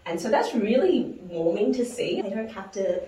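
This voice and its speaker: A woman's voice: mid-range voice, very animated, normal speed, dark tone, a little hiss or hum. A woman from New Zealand, a guest on an interview show, who talks reflectively, laughs often and restarts her phrases. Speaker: guest investor. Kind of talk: conversational interview. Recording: echoey room, mic at a distance.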